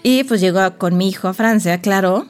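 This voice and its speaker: A Mexican woman in her forties, chatting as a podcast guest. She tells her stories in a lively, laughing way at a medium pitch. Faint music sits low behind her voice. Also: normal speed, clean tone. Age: 40s. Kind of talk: casual chat.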